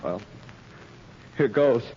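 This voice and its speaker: A man's voice: medium pitch, expressive, drawn-out words. An actor playing a young, tough, bitter condemned gunman with slangy street talk, in 1930s radio drama style. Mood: brave, resigned. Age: young adult. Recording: scratchy, muffled 1930s radio recording.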